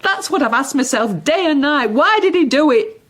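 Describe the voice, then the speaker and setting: An actress playing a grieving, bewildered Englishwoman in a period radio drama, speaking in an agitated, halting, questioning way. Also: high voice, animated, a bit bright. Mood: anguished. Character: grieving, bewildered Englishwoman in early twentieth-century England. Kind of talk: BBC radio drama acting.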